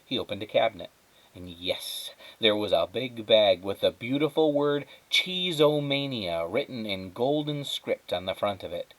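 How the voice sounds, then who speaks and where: A man reading a children's story aloud as a teacher would, with dramatic, measured phrasing and emphatic pauses. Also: lowish voice, animated, poor audio. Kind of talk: expressive children's storybook narration.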